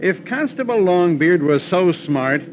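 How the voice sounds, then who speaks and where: Grumbling, higher-pitched police inspector. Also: male voice, lively intonation, measured pace. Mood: puzzled. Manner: gruff, slangy, grumbling. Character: grumpy folksy city police inspector, amiable sidekick. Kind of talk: old-time radio drama acting. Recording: noisy muffled old radio recording.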